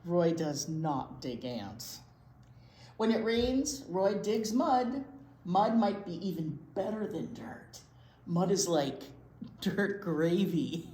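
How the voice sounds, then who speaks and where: A woman reading a picture book aloud to young children in an expressive way, pausing to explain words simply. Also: lowish voice. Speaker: library storytime presenter, children's teacher. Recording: roomy echo, light background noise.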